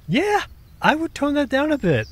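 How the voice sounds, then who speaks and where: A man voicing an ancient, dry and sceptical mythical creature in an audio drama, husky and theatrical, explaining things in a measured way. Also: extremely high pitch, wildly swooping pitch, full character voice.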